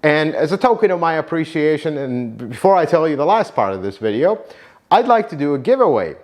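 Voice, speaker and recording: Earnest man from Iraq thanking viewers. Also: brisk. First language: Arabic. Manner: earnest, warm gratitude, lively pitch swings. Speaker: YouTube channel host, car mechanic. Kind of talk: heartfelt thank-you talk to viewers.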